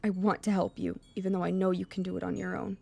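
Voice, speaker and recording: A woman's voice: lowish voice, level tone, fast talker, vocal fry, a little mellow, breathy edge, voice pushed down, full character voice. A woman voicing a devoted, supportive teenage boy in love, speaking sincerely and insistently in a visual novel. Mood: earnest, insistent.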